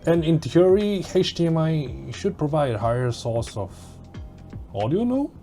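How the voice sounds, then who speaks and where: A man's voice: fairly high pitch, expressive, moderate pace, warm tone, soft background music. A man giving a casual hands-on gear review in a blunt, mildly exasperated, sweary way. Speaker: tech reviewer.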